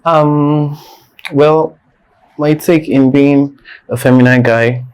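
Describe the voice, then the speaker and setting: A man's voice: on the high side, steady tone, takes it slowly, a little mellow, clean tone. A young man with a Nigerian accent, a guest in an interview, talking bluntly and defiantly and repeating his points for emphasis. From northern Nigeria. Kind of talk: candid personal interview.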